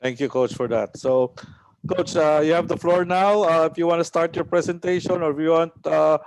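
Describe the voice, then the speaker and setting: A man's voice: on the high side, steady tone, a little mellow, dry recording, low-quality recording. Guy hosting a coaching webinar, lots of uhs, dry close sound. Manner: halting, many fillers. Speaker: host.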